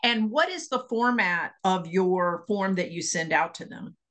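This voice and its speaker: A woman's voice: mid-range voice, animated, measured pace, a bit bright, slight background noise. A woman hosting an interview, upbeat and encouraging, asking short questions. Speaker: interview host. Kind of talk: casual interview chat.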